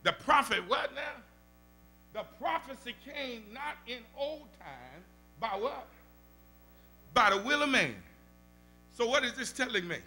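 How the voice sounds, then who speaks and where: An older man with a Southern US accent, a pastor teaching Bible study in a church sanctuary, preaching into a stand mic with call-and-response and rising shouts. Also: very high-pitched, sing-song, measured pace, pauses often, soft background music, poor audio. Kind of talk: animated preaching. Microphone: stand mic at the pulpit.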